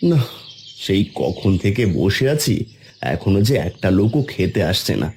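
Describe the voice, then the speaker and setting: A man's voice: mid-range voice, dark tone, a little hiss or hum, lightly acted. A man voicing a kindly, worried small eatery owner in a cartoon. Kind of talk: cartoon voice acting.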